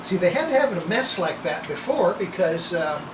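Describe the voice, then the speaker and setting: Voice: medium pitch, sing-song, normal speed, reverberant. Older folksy workshop tinkerer, noisy room. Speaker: radio restorer presenting own repair. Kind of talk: casual hands-on workshop narration. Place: small cluttered indoor electronics workshop.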